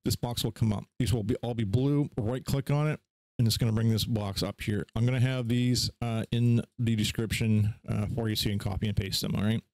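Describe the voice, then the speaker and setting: A man casually talking through software setup steps in an informal, unscripted tutorial style. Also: on the low side, steady tone, brisk, no echo. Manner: informal, instructional, step by step. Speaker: tutorial host. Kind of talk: casual unscripted screen-share software walkthrough.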